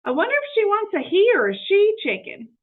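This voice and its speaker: A storybook housekeeper played by a woman reader who is proud, earnest and takes everything literally. Her chirpy voice sits high and swings in pitch. The audio is narrow, like a phone line. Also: wildly swooping pitch, a little fast, heavily acted. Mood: earnest, pleased. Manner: earnest, chirpy, matter-of-fact. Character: cheerful literal-minded woman housekeeper, proud and innocently helpful.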